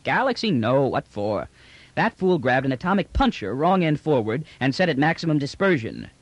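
A man's voice: medium pitch, sing-song, moderate pace, dry recording, voice pushed up. A man voicing a brash, unruffled male space trader with a cheeky, slangy, colloquial manner in an audiobook. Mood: indignant. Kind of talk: audiobook character dialogue.

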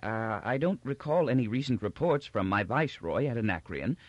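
A man voicing a gentle, confused elderly emperor from a novel, with a quavering, halting voice and a hesitant, forgetful manner. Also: medium pitch, animated, no echo, highs cut off, natural voice. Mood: kindly, absent-minded. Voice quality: quavering, halting old man's voice.

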